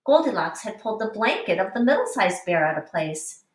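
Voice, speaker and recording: A woman teacher reading a picture book aloud to young children with deliberate, explanatory expression. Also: medium pitch, big pitch swings. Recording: echoey room, distant mic.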